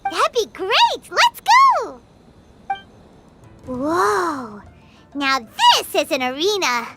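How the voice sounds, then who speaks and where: A woman voicing a tiny, excitable, childlike fairy companion in a video game, exclaiming eagerly. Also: very high-pitched, very slow, loud music behind the voice, heavily acted. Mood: excited, amazed. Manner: exclaims eagerly, refers to self in third person. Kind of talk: animated video game voice acting.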